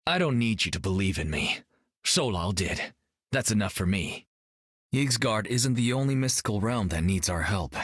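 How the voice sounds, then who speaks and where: A cocky young martial artist. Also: male voice, lowish voice, expressive, normal speed, fairly bright tone, breathy edge, dry recording, studio quality. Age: young adult. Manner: cocky, joking. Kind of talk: video game voice acting.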